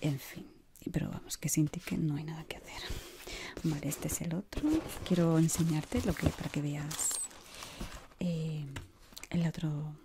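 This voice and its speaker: A woman in her thirties with an accent from Spain, whispering softly and soothingly in an ASMR shop assistant roleplay, close to the mic. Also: deep voice, level tone, unhurried, noisy background. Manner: soft, soothing whispering and murmuring. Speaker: ASMR creator playing a shoe shop assistant. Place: small indoor room.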